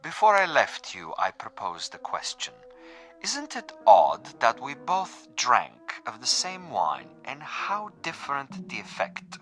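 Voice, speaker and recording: A man's voice: medium pitch, wildly swooping pitch, slow, fairly bright tone, faint music behind, exaggerated voice. An actor playing an urbane, sadistic assassin who taunts his prey in formal, precise, theatrical phrases in a suspense radio drama. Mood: smug, amused.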